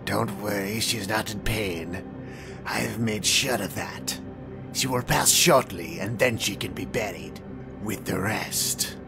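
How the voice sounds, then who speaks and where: An actor playing a calm, courteous male vampire with a thin, dry voice, speaking slowly and with polite menace in a fan audio drama. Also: on the low side, gravelly, over music. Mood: gentle. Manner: slow, measured and politely menacing.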